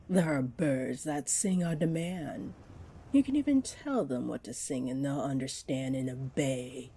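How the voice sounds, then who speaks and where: A low-voiced, flirty mermaid played by a woman coaxes in breathy half-whispers, taking her time with every phrase. She is greedy and possessive, speaking intimately as in a roleplay audio drama, over faint wave ambience. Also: darkish tone, slight background noise.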